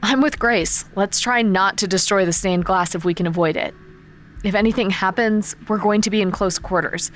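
A woman's voice: medium pitch, normal speed, slight background noise, lightly acted. A woman playing a candid, considerate magic user in a fiction podcast, talking in a reasoning, everyday way. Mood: earnest.

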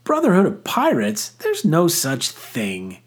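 A storybook teenage girl, acted out by a male narrator, high and very animated. She is indignant and scornful, with a teasing edge and sharp, snapping comebacks. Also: moderate pace, breathy edge, full character voice. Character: fiery, indignant fifteen-year-old girl, scornful and teasing.

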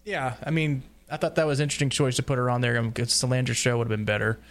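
A man hosting a casual roundtable discussion, speaking haltingly as he searches for words. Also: mid-range voice, steady tone. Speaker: podcast host.

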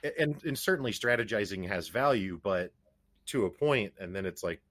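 Deep-voiced male interview host. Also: moderate pace, no echo. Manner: relaxed, stammering restarts, friendly asides. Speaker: podcast host. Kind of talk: casual interview conversation.

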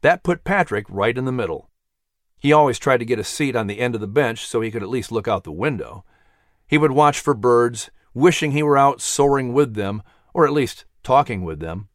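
Male storyteller for children's audiobook: animated delivery, medium pitch, close dry studio sound. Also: normal speed, no echo. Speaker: storyteller, narrator. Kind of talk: scripted audiobook narration for children.

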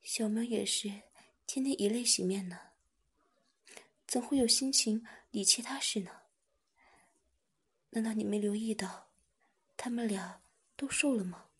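A woman voicing a gentle, caring young wife who speaks in a whisper. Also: on the high side, measured pace, long pauses, breathy edge. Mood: soothing.

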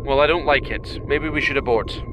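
An actor playing a cautious, fretful mission captain, stern and plain-spoken, in a sci-fi audio drama. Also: male voice, a little high, a little fast, noisy background, lightly acted. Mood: worried.

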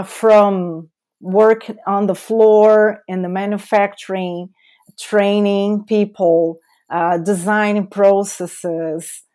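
Brazilian lady on a podcast, slow and halting, lots of uhs, portuguese accent. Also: medium pitch, warm tone. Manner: slow, halting, with frequent fillers. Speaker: guest. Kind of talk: podcast interview.

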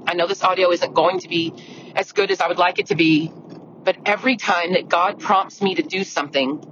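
A middle-aged woman with a deep, scratchy voice hosting a solo podcast, chatty and earnest, often restarting her sentences. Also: fairly bright tone. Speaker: podcast host. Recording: lo-fi audio with clear background noise.

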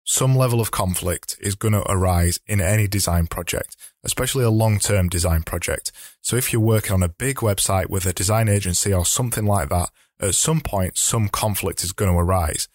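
A man with a slightly low voice hosts a solo advice podcast, walking listeners through points in a chatty, explanatory way. His voice is a little hoarse from a coming cold. The sound is dry and close, with no room behind him. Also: brisk, no room sound. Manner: chatty, explanatory, with frequent restarts. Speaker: podcast host.

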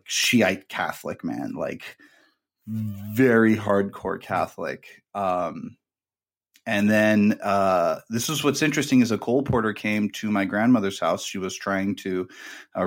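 A man hosting a podcast, telling a long family story in a rambling, casual way with many fillers. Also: fairly low pitch. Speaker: podcast host. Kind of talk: casual conversation.

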